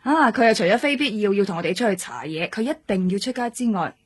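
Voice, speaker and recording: A woman's voice: mid-range voice, lively intonation, quick pace, breathy edge. A woman with a Hong Kong Cantonese accent playing a seasoned, weary detective in a radio drama, casual and teasing. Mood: wry, weary. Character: wry, seasoned female police detective, weary senior colleague.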